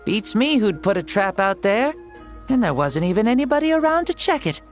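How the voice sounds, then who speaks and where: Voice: medium pitch, sing-song, a little fast, lightly acted. An actor voicing a jaunty, grandiose ancient fire-god spirit in a boy's body for a video game, playful and theatrical with old-fashioned turns of phrase. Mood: jaunty, chatty. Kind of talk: video game character acting. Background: music under the voice.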